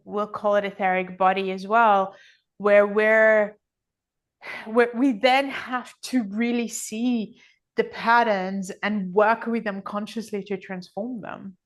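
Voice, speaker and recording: Slow-paced middle-aged woman, reflective. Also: medium pitch, level tone. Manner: reflective, often voices others' thoughts in quotes. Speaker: podcast guest, energy healing author. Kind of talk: conversational interview answers.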